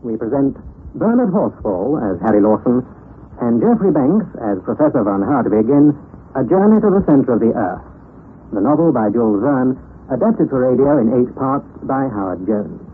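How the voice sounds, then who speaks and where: A man's voice: medium pitch, very animated, normal speed, phone-quality sound. A man announcing a radio drama in a formal, declamatory scripted style. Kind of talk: scripted radio programme introduction. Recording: thin, noisy old radio recording.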